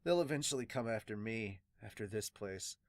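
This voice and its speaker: Guy reading as solemn old sea hand, measured n earnest, manga dub, dry clean sound. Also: on the low side, expressive, no room sound. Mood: solemn, reflective. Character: weary, solemn veteran pirate doctor recounting his late captain.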